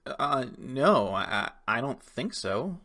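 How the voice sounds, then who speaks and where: A man playing a nervous, evasive suspect in an audio crime drama, with a husky, hesitant delivery. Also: medium pitch, sing-song, slow, sharp tone, exaggerated voice. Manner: hesitant, stammering. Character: nervous, evasive male suspect under questioning.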